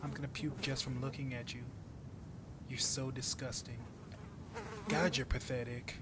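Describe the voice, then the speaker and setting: A man voicing a harsh, domineering, mocking woman in an ASMR roleplay, swearing scornfully with drawn-out emphasis. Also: flat delivery, natural voice. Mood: contemptuous, commanding. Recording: loud buzzing insect noise, low rumble. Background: buzzing flies sound effect.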